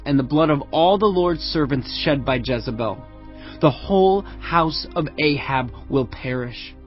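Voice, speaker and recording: A man's voice: on the high side, lightly acted. A man voicing a young prophet who fervently delivers a divine message. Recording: dull lo-fi audio with music.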